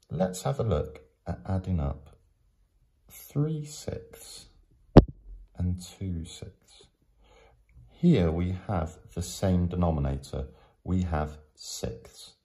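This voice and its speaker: Male tutor for step-by-step lesson narration: very low pitch, gravelly creak, patient explanatory delivery. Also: mellow. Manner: patient, explanatory, with frequent pauses. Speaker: teacher.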